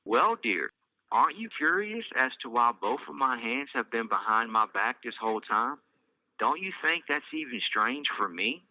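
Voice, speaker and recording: A man's voice: medium pitch, sing-song, normal speed, bright, forceful tone, no room sound, poor audio. A man voicing a playful father who teases his family. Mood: teasing. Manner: teasing, cheerful. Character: playful teasing young father.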